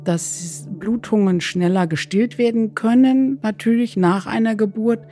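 A woman doctor giving measured, explanatory interview answers as a medical expert. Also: mid-range voice, dark tone, soft background music.

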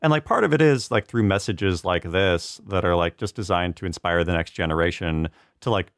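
Guy on a podcast, easygoing and jokey, lowish voice, dry close sound. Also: fairly quick, no echo. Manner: relaxed, lots of filler words, jokey. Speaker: podcast co-host. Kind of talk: casual podcast discussion.

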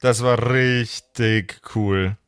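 A man's voice: mid-range voice, steady tone, extremely slow, fairly bright tone, smooth, dry recording, studio quality. A man speaking informally as a game master on a podcast, chatting and explaining rules. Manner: informal, colloquial. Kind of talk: casual chat and rule explaining.

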